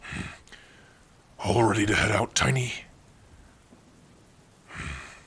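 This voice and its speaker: A man playing a protective orc warrior, gruff but tender, in a hushed, halting and intimate ASMR roleplay. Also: animated, full character voice. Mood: calm, gentle. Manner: slow, hushed, halting, earnest. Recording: faint rain and rumble noise. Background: rain.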